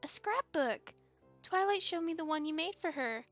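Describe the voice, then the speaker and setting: A woman's voice: very high-pitched, lively intonation, moderate pace, fairly bright tone, highs cut off, faint music behind, exaggerated voice. A woman voicing an eager, curious young pony filly, childlike and blunt, in an amateur audio drama. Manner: childlike, inquisitive.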